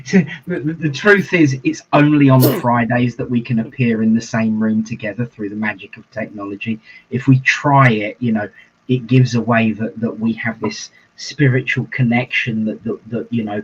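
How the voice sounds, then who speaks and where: A man's voice: mid-range voice, fairly even intonation, breathy edge. A man co-hosting a livestream as a reverend, chatting casually and chuckling often. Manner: chuckling, easygoing, drawn-out phrasing. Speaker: reverend co-host. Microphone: video call. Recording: dull lo-fi livestream audio.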